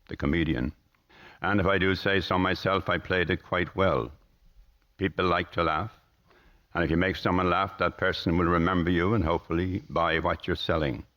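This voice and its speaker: An actor playing a gloomy car salesman in a radio drama, speaking in a formal, deadpan, sardonic and measured way. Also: low-pitched, highs cut off. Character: tall, gloomy, curt male car salesman, dryly superior.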